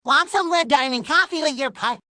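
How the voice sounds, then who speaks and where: Tiny pushy cartoon salesman, high bright voice, cheerfully menacing ad skit stuff. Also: fairly quick, no echo, studio quality. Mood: perky, pushy. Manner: perky, insistent sales pitch. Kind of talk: old TV ad skit dialogue.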